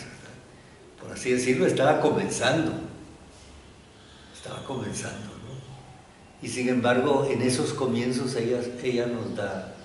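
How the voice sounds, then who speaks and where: An older man speaks slowly and reflectively, as an academic being interviewed. His delivery is halting and thoughtful, punctuated by small questions seeking agreement. The room echoes strongly around him, and he sits away from the microphone. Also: medium pitch. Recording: roomy, echoing, distant mic, faint noise.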